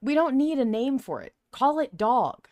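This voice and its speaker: A woman's voice: sits high, very animated, moderate pace, warm tone, no room sound. A woman hosting a casual fan podcast, chatting in an emphatic, playfully argumentative way. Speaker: podcast host.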